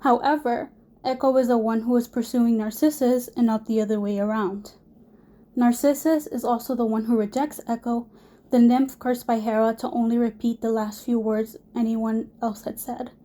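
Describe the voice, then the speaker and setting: A young woman with a hoarse voice from a cold, presenting a class project from prepared notes with small restarts. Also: a little high, fairly even intonation, moderate pace, warm tone. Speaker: student presenter.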